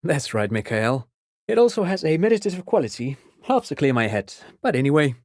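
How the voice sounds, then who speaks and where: Erudite, chatty amateur scholar, close and dry. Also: male voice, fairly high pitch, sing-song, a little fast, dry recording. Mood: eager lecturing. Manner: erudite, digressive, hesitant, eager to explain. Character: chatty, pedantic male amateur scholar, absent-minded enthusiastic father. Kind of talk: video game character dialogue.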